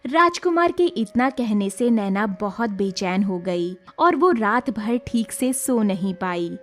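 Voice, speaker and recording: A woman's voice: mid-range voice, lively intonation, slow, soft background music. A woman narrating a scripted story as a storyteller.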